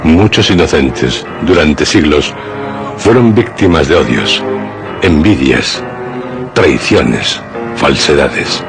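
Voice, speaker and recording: A man delivering ominous scripted horror narration for radio, solemn and halting, with dramatic pauses. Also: extremely low pitch, lively intonation. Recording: music under the voice.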